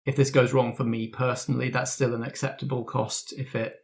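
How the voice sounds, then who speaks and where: A man's voice: mid-range voice, flat delivery, a little fast, slightly rough. A man narrating a tabletop roleplaying game as game master and host.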